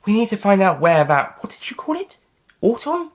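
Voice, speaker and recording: Man putting on a high-pitched startled female agent. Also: big pitch swings, normal speed. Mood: questioning. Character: female space agency agent, startled and inquisitive. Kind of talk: audiobook character dialogue.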